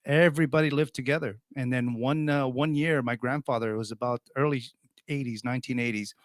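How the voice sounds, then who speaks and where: A man's voice: medium pitch, steady tone, a little fast, dry recording, studio quality. A man in his forties from Portugal, hosting a radio talk show in a plainspoken, opinionated, conversational way. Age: 40s. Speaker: radio host.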